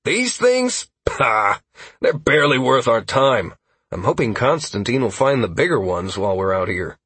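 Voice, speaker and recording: A man's voice: medium pitch, big pitch swings, normal speed, a bit bright, husky, no echo. A man playing a wry, cocky male party leader in a fantasy audiobook, with a dry, teasing manner. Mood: smug.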